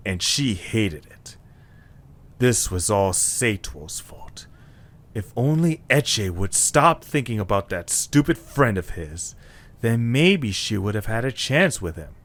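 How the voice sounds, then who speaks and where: A man's voice: lowish voice, sing-song, measured pace, fairly bright tone. A man reading scripted narration as the narrator of a dramatic story.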